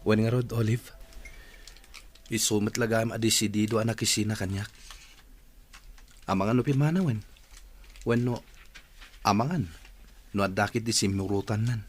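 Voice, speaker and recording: A man's voice: lowish voice, normal speed, long pauses, natural voice. A man acting a concerned, earnest friend in an Ilocano audio drama, speaking seriously.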